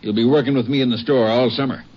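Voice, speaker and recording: An actor playing a gruff, scolding middle-aged father and storekeeper in a 1950s radio drama. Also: medium pitch, steady tone, fast, muffled recording, natural voice. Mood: stern. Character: stern small-town father and storekeeper. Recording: hissy old radio broadcast.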